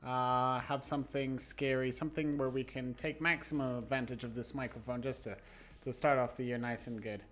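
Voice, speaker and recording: A man's voice: medium pitch, steady tone, moderate pace, fairly bright tone. A man hosting a livestream, chatting casually with viewers in a rambling, stop-start way over background music. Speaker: live streamer and host. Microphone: new close microphone, no webcam.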